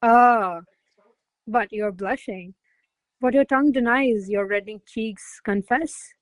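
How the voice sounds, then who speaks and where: A woman acts a proud young lady of rank in a classical radio comedy. Her voice is fairly high and closely, dryly recorded. She speaks in formal period phrases, haughty and teasing toward her servant. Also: slow, dry recording, poor audio. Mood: teasing, probing. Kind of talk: classical verse stage comedy as radio play.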